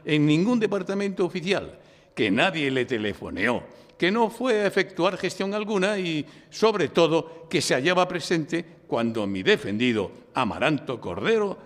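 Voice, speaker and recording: Showy courtroom lawyer, spain accent, swooping delivery, big echoey hall. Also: male voice, on the high side, sing-song, normal speed. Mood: insistent, confident. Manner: oratorical, insistent, declamatory. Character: theatrical male defence lawyer narrating his own courtroom case. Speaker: narrator and lead actor in an audio drama. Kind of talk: courtroom radio drama acting with first-person narration. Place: public library, before a live audience. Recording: reverberant hall, live stage recording.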